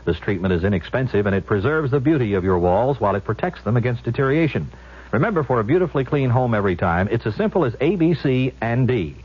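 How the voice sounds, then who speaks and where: Husky male radio ad announcer. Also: mid-range voice, expressive, fast. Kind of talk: scripted 1970s radio ad read. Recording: dull old radio broadcast recording.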